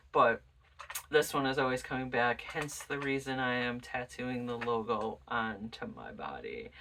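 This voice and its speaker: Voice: mid-range voice, pushed and bright, distant mic, a little hiss or hum. A vlogger chatting casually and informally in a rambling way as host of a music collection show. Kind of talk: casual rambling vlog chat.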